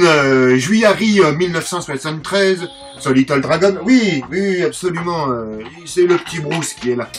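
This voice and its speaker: A man's voice: sits high, a bit bright. A French man hosting a channel, narrating film history in a casual, joking way, often stumbling over names and mocking himself. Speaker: channel host and collector.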